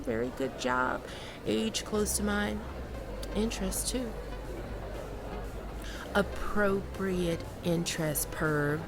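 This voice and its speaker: A woman's voice: fairly low pitch, vocal fry. A woman acting a sassy, guarded dominant woman who bickers with a coworker in a one-sided audio roleplay, teasing, sarcastic and easily flustered.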